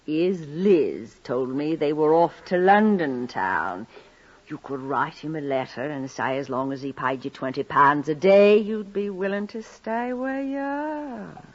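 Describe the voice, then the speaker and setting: A woman's voice: low voice, lively intonation, measured pace. A brawny, scheming wife in a radio drama. She draws her words out slyly with big swings in tone. The sound is dull, like an old broadcast.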